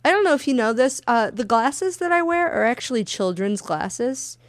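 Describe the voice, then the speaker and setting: Loud, animated woman podcast co-host. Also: a little high, normal speed, no room sound. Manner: animated, profane storytelling. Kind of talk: casual podcast chat.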